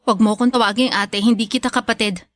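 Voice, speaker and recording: A woman's voice: on the high side, steady tone, quick pace, no echo, close to an everyday voice. A woman with a Filipino accent playing a resentful, wounded young adult daughter in a radio drama, confrontational and accusing. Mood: angry, rejecting.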